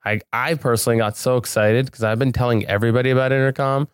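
A man's voice: medium pitch, fairly even intonation, fast, clear, resonant tone, no echo, studio quality. A man speaking as an interview guest in a casual, informal and enthusiastic way. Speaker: podcast guest, business owner.

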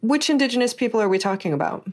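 A woman's voice: fairly high pitch, lively intonation, rapid-fire, darkish tone. A woman from Hawaii hosting a podcast interview in an upbeat, conversational way. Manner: upbeat, conversational, some restarts. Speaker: podcast host. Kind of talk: casual interview chat.

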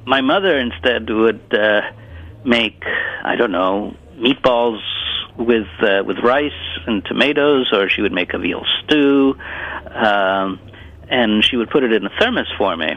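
Man, from Italy, native Italian speaker, gravelly, halting with fillers, muffled phone sound. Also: fairly low pitch. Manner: hesitant, many fillers and restarts. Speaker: guest, cookbook author. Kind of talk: casual radio interview. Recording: dull muffled phone line.

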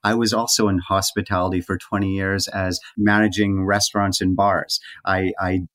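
A man hosting a podcast interview, gently affirming the guest and asking open questions. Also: sits low, little pitch movement, normal speed, no echo. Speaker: podcast host. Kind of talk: conversational interview.

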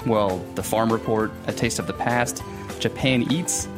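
A woman's voice: a bass voice, unhurried, over music. A woman performing a scripted, teasing radio promo skit. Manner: breezy, teasing. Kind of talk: scripted comedic promo dialogue.

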